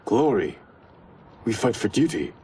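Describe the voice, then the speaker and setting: An actor playing a dutiful, earnest warrior in a video game, speaking formally. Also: male voice, on the low side, sing-song, a little slow. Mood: urgent, principled. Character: dutiful, earnest warrior, younger brother of clan leader. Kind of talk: video game cutscene acting. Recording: distant voice in loud background noise.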